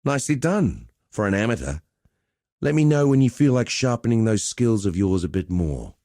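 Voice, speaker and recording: Mellow, roguish arena master. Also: male voice, lowish voice, big pitch swings, normal speed, dry recording, studio quality. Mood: wry, instructive. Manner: wry, teasing, conversational swagger. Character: roguish male gladiator arena master, cocky, teasing, easygoing. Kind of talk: video game character dialogue.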